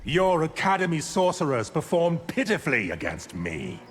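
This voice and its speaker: A man voicing an arrogant, sinister underworld sorcerer in a video game, with a smug, taunting, deliberate delivery. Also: fairly high pitch, big pitch swings, a little hiss or hum. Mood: menacing. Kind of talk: video game villain acting. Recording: game dialogue with music behind.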